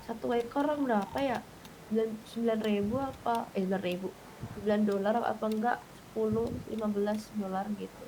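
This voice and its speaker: A woman's voice: mid-range voice, fairly even intonation, a little fast, dark tone, slight background noise, poor audio. A woman chatting casually and colloquially as a player in an online roleplay game.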